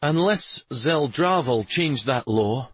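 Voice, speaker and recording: A man voicing an angry, commanding prince bent on justice in a fantasy audiobook, speaking forcefully. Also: lively intonation, takes it slowly, husky, no room sound, heavily acted. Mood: brooding, intense. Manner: forceful, commanding, low intense speech. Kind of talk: fantasy audiobook character dialogue.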